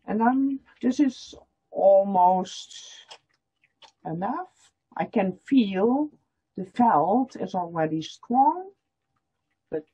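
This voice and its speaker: Patient woman demonstrating a craft on a video call; slow, expressive delivery, dull audio. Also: mid-range voice, husky. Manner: explains step by step, repeating lines in German. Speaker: felting teacher. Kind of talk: online workshop demonstration.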